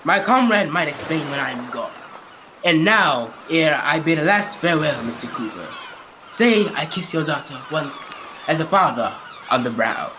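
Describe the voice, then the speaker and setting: A man's voice: a little high, expressive, normal speed, natural voice. A man playing a doomed, lovelorn sea captain with solemn, archaic declamation in an old-time radio drama style. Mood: solemn, resolute. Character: doomed ghostly sea captain, older man, anguished lover. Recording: clearly noisy amateur stage recording.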